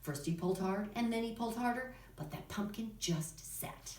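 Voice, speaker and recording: A woman's voice: medium pitch, animated, normal speed, a little mellow. A woman drama teacher presenting and narrating for children's theater, in instructional talk and storytelling. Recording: echoey room, distant microphone.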